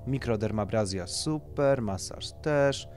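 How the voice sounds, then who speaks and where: A man's voice: mid-range voice, takes it slowly, darkish tone, lightly acted. A man voicing a bossy, businesslike surgeon in a horror audiobook, coolly appraising bodies and giving instructions, with music behind. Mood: pleased, businesslike.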